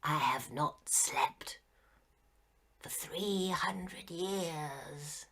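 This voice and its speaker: A woman's voice: deep voice, a little slow, bright, forceful tone, full character voice. A woman reading a story aloud, voicing a petulant, lonely old male ghost who turns meek and weary.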